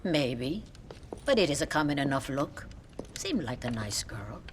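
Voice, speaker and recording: An actress playing a devout, opinionated older nun with a Mexican accent in a video game, theatrical and sharp-tongued. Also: female voice, sing-song, a little slow, full character voice. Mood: confiding, pious. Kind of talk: video game cutscene acting. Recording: faint rumbling background noise.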